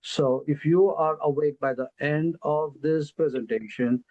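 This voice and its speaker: A man with an Indian accent presenting an investment pitch on a video call, speaking slowly and deliberately with many fillers. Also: dark tone, dry recording, dull audio. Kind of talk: casual webinar presentation.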